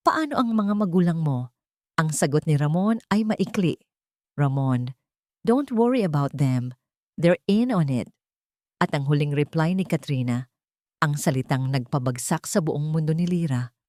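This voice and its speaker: A woman with a Filipino accent reading a scripted love story as a narrator, in a dramatic, deliberate way. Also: deep voice, expressive, a little mellow, dry recording, studio quality.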